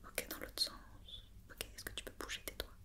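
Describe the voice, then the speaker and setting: A woman speaking in a soft, breathy whisper, playing a patient, methodical doctor in an ASMR check-up roleplay. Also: normal speed. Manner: patient, methodical, repeating instructions and questions. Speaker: ASMR performer playing a doctor examining a robot.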